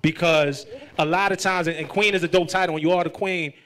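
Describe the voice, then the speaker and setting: A man's voice: on the high side, fairly even intonation, brisk, a bit bright. An American man casually hosting a hip-hop interview, talking in an emphatic, hyped way and repeating himself for effect. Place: live event before an audience.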